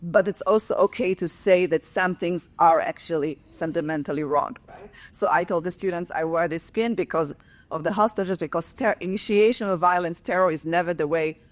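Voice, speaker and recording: Woman, 40s, Israeli accent, professor on a panel; earnest, halting, lower register. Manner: earnest, frequent fillers and restarts. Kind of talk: conference panel talk.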